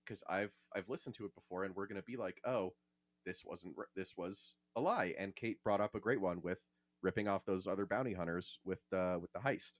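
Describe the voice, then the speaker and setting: A man's voice: low-pitched, brisk, no echo. A man hosting a podcast in a casual, conversational style. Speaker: podcast host.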